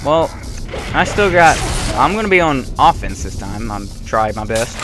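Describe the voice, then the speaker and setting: A young man giving rambling, chatty commentary while playing a game, his voice getting hoarse. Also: mid-range voice, very animated, moderate pace. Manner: rambling, self-correcting, chatty. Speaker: gaming video host. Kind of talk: casual gameplay commentary. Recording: loud game music behind. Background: game sounds and gunfire.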